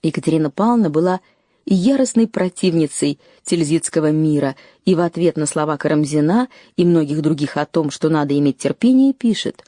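A woman reading a scripted documentary narration in a measured way, lifting her voice on key phrases. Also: low voice, animated, mellow, dry recording, clean studio sound.

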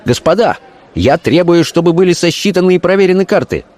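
Hot-headed young male officer played by a male narrator, raised pitch, insistent and defiant, audiobook drama. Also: wildly swooping pitch, unhurried, darkish tone, heavily acted. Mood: indignant. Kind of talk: audiobook character acting.

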